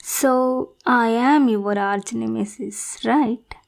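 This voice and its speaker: Woman playing a slow-talking, lovesick female supervillain. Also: on the high side, vocal fry. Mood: coy, possessive. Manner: theatrical, pleading, dropping into whispers. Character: lovesick, needy female supervillain, self-pitying and possessive. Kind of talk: scripted roleplay monologue addressed to the listener.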